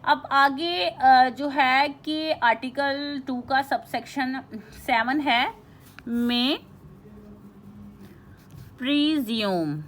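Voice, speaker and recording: A woman teacher giving an informal lecture, explaining and reading out definitions in a halting, repetitive way with frequent check-in tags. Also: sits high, fairly bright tone, a little hiss or hum.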